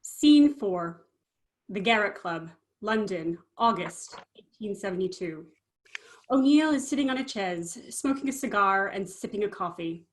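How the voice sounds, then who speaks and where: A woman with a medium-pitched voice narrates a play reading. She delivers scene headings and stage directions as scripted narration. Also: animated, unhurried.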